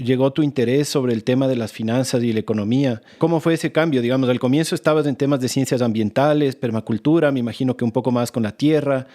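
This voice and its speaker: Mellow-voiced male podcast host. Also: medium pitch. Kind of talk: casual interview conversation.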